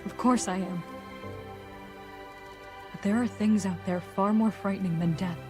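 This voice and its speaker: An actress playing a calm, resolute and caring female mercenary in a video game, gentle and steady. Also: medium pitch, unhurried, over music, natural voice. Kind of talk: dramatic video game acting.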